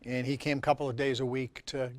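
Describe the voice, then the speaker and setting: Mature man, medium pitch, casual co-host, conversational, dry studio-like sound. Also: flat delivery, quick pace, dry recording. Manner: conversational, prompting his co-host. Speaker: co-host, senior center director. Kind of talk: casual talk show chat.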